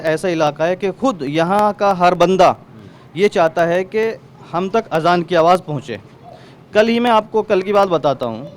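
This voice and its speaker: Male community spokesman, high voice, western Uttar Pradesh accent, emphatic and explanatory, recorded outdoors with slight noise. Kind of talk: explanatory street interview answer. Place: outdoors in a residential lane. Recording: faint outdoor street noise.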